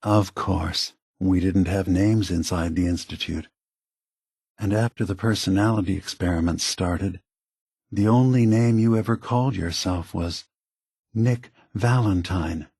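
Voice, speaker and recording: An aged synthetic leader from a video game, gentle and weary. His voice is low, breathy and very dry, close and free of room sound. He speaks slowly and deliberately, reassuring and persuading like a patient philosopher. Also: no room sound, heavily acted. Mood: calm, reassuring. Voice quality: soft, husky, breathy.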